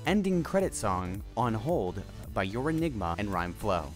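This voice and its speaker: A man's voice: fairly low pitch, big pitch swings, moderate pace. A man reading scripted end credits as the announcer of an audio drama. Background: music.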